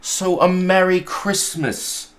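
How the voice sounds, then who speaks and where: A man's voice: sits high, fairly even intonation, takes it slowly, fairly bright tone, voice pushed up. A man voicing a cheerful, earnest young nephew in a storybook reading, with a stammering, effusive manner. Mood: pleading, cheerful.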